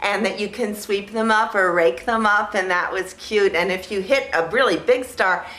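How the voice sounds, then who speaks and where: A woman vlog host talking casually and ramblingly in a naive, childlike way, halting and repeating phrases as she searches for words. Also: mid-range voice, a bit bright, echoey room. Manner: childlike, naive delivery in simple sentences. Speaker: vlog host reviewing films. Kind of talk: casual rambling talk to camera.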